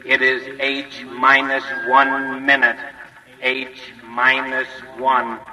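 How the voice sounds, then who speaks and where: Man acting as slow monotone countdown announcer, echoing. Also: mid-range voice, background noise, lightly acted. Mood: measured. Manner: monotone, measured. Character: solemn, impersonal male military countdown announcer. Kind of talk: vintage radio drama acting. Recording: distant, echoey, reverberant vintage radio recording.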